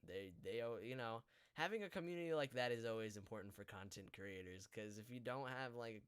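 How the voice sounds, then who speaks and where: Male podcast host, clean smooth tone, informal live chat, meandering and halting in his phrasing. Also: medium pitch. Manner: hesitant, rambling, often trailing off mid-thought. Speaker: podcast host and amateur voice actor.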